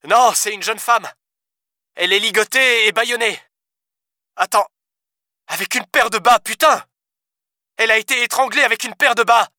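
A man's voice: high voice, normal speed, pauses often, sharp tone, dry recording, clean studio sound, voice pushed up. A man voicing a foul-mouthed French cop. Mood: shaken. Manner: swears often, blunt.

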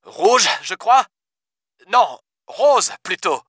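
A man's voice: sits high, moderate pace, sharp tone, no echo, studio quality, pitched above the performer's own voice. A man reading aloud, voicing a blunt, swearing male police officer in a raised voice. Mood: shaken. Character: gruff foul-mouthed male French cop.

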